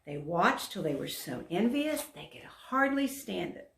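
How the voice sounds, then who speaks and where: A woman volunteer narrating a children's story aloud in an expressive, emphatic storytelling manner. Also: mid-range voice, unhurried, gravelly. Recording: roomy echo.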